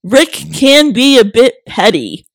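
Hoarse woman co-host, slightly high. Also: big pitch swings, slow pace, noisy background. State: hoarse, losing her voice. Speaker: podcast co-host. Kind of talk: casual chat.

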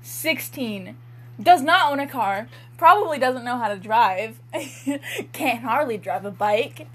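A woman's voice: a little high, lively intonation, slow, fairly bright tone, husky. A woman doing a casual comedic dramatic reading with banter, in a giggly, self-mocking and irreverent manner. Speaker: co-host and writer of the story being read.

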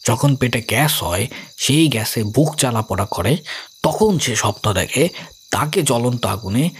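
An actor playing a calm, rational older investigator, narrating an audio story in a measured, explanatory way. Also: male voice, mid-range voice, slight background noise, natural voice. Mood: calm explaining. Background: music.

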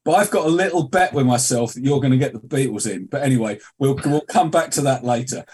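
A man's voice: mid-range voice, fast talker, darkish tone, husky. A man in his sixties co-hosting a casual chat, with dry, sarcastic humor. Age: 60s. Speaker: co-host.

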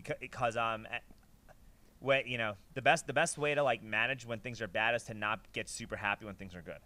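Fast, bright-voiced man rambling emphatically. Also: mid-range voice, fairly even intonation, no echo. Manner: rambling, emphatic, slangy and profane. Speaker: podcast host. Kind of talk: casual chat, conversational storytelling.

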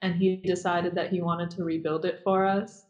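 A woman's voice: on the low side, flat delivery, moderate pace, darkish tone, muffled recording. A young woman from Nazareth, a guest in a casual interview, talking hesitantly with many fillers and restarts. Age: young adult.

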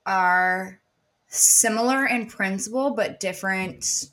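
Bright, agreeable female co-host with short replies in casual chat. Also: mid-range voice, slow pace, low-quality recording. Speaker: podcast co-host.

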